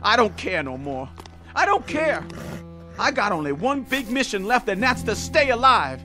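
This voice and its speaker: An actor playing a frightened young Confederate private who whines and pleads nervously in an old radio drama. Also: male voice, high voice, very animated, moderate pace, over music. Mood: despairing. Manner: jittery, whining and pleading. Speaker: private soldier. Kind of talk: 1960s radio drama acting.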